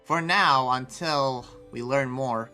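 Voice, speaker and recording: Bright-voiced, hesitant, earnest demon slayer, played by a roleplayer. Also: mid-range voice, takes it slowly, soft background music. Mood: explaining, earnest. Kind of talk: improvised Minecraft anime roleplay.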